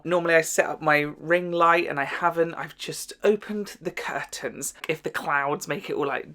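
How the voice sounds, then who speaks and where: A man's voice: high-pitched, fairly bright tone. A man hosting a reaction vlog in a chatty, informal way, swearing freely. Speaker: host of a reaction channel.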